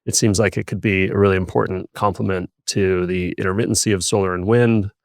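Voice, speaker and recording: A man hosting a podcast, reading an intro and asking interview questions in an even delivery. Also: low-pitched, fairly quick, gravelly, no echo, clean studio sound. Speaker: podcast host.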